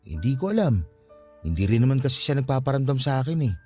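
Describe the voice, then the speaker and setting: A Filipino man acting in a radio drama as a calm, respectful young caretaker of a haunted guesthouse, speaking politely and reassuringly. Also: medium pitch, fast, soft background music. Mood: reflective.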